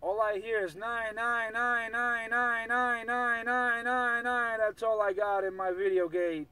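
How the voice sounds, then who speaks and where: A man's voice: extremely high pitch, little pitch movement, measured pace. A man making casual CB radio chatter in a slangy, repetitive way. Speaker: CB radio operator. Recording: noisy CB radio, heard from afar.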